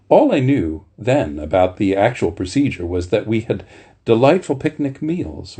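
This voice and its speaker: Mellow man reading aloud, widely varied intonation. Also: medium pitch, slightly rough. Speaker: reader at a bedtime story series. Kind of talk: expressive literary reading aloud with informal asides.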